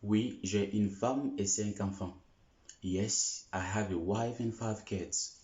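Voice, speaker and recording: Male language tutor, low and mellow, patient phrase drills, dull lo-fi echoey recording. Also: fairly even intonation. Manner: slow, deliberate, repeats each phrase three times. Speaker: language teacher. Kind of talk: slow repeated phrase drills.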